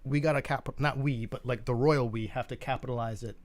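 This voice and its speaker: A man with a medium-pitched voice chats as a live stream co-host. He is opinionated and sardonic, swearing casually, and is recorded close with no room echo. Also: steady tone, brisk. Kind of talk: casual opinionated chat about gaming news.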